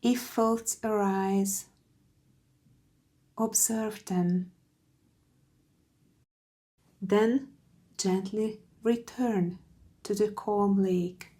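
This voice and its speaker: A woman guiding a meditation in a soft, soothing, gentle voice with breathy phrase endings. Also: mid-range voice, fairly even intonation. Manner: soothing, gentle, unhurried with long pauses. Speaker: meditation guide. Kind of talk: guided meditation narration.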